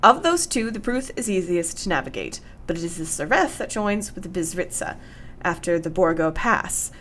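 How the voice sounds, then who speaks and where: A woman's voice: fairly low pitch, very animated, normal speed, fairly bright tone, slight background noise. A woman in a radio play acting a brave, clever young Victorian wife, speaking earnestly with formal period diction. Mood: determined, reasoning.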